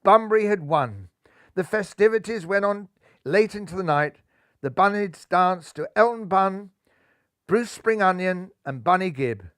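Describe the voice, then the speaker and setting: An older man reading a children's picture book aloud, lively, lifting his voice for the characters. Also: unhurried, clean tone, no room sound, studio quality. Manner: lively, raises pitch and volume for characters' lines. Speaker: storybook reader. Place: living room, seated in armchair.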